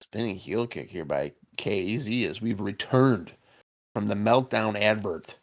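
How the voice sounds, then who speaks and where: A man co-hosting a podcast, reacting to an old wrestling match with excitable jokes and casual swearing. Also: fairly low pitch, measured pace. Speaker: podcast co-host. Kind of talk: casual wrestling commentary chat.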